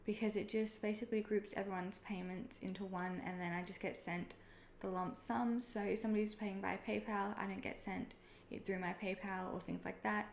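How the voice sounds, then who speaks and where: A young woman with an Australian accent walks through her topic in a relaxed, chatty vlog style. Her voice has a gravelly, fried edge at a middle pitch. She is recorded in an echoey room with a faint background hiss. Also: normal speed. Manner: friendly, chatty, with frequent "um" and "personally". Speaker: online seller and video creator. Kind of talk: casual explainer vlog.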